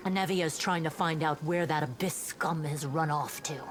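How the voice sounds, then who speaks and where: An actress playing an earnest, dutiful female paladin officer in a fantasy game, speaking in a formal, measured reporting manner. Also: deep voice, natural voice. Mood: formal, composed. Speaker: game character reporting to a commander. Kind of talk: voice-acted fantasy game dialogue. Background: music.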